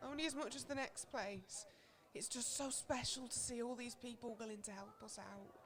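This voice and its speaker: An amateur actress playing an affectionate, homely long-serving community centre worker in a radio play. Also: female voice, a little high, normal speed, natural voice. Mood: warm, cheerful.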